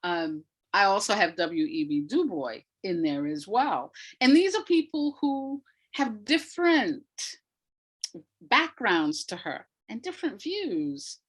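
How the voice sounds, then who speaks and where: Slow-paced, deliberate older woman in conversation. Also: medium pitch, very animated, husky. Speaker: guest, playwright and retired academic. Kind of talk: reflective interview conversation.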